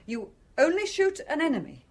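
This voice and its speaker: A woman's voice: high-pitched, sing-song, moderate pace. A woman playing a cunning carer who coaxes and needles, in a radio drama. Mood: needling. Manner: coaxing, needling. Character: cunning female carer coaxing a dangerous youth.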